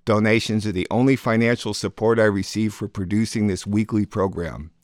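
A man's voice: lowish voice, steady tone, brisk, no room sound, studio quality. A man hosting a radio program, reading scripted credits and contact announcements. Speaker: radio program host and producer.

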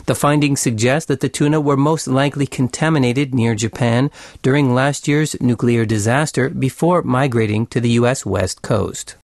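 A man's voice: medium pitch, moderate pace, a little mellow, dry recording, studio quality. A man reading a scripted radio news bulletin as a news reader.

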